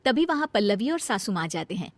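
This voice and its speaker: A woman's voice: medium pitch, sing-song, a little fast, dry recording. A woman storyteller reading scripted narration of a comic family story.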